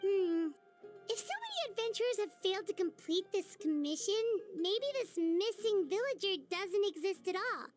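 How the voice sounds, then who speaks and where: An extremely high, squeaky little fairy girl from a video game, eager and curious. Her childlike delivery is perky and very animated, with music clearly playing behind her. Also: moderate pace. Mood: puzzled. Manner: perky, exclaiming, refers to self in third person.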